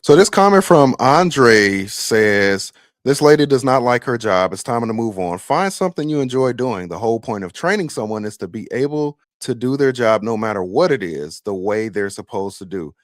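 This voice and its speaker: Male host for reaction commentary: opinionated, emphatic bus-operator voice, medium pitch, very dry recording. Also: animated, moderate pace, dry recording.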